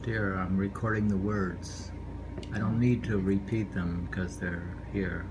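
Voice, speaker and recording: A man reading poetry informally, unhurried and rambling, repeating lines and adding offhand commentary. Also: low voice, fairly even intonation. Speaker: poetry reader. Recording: noisy room ambience, amateur home recording.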